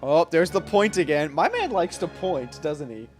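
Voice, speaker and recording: A young man with an animated voice comments casually on a video game. He jokes and reacts in slang, with music in the background. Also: fairly high pitch, moderate pace. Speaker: gaming streamer. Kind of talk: casual let's-play commentary.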